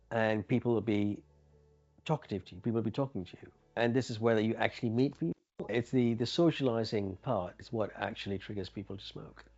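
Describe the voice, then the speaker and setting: A man's voice: fairly low pitch, a little mellow. A man telling his own story as a former smoker in a plain, earnest, conversational way. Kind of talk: personal testimonial. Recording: muffled with faint background music. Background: faint music.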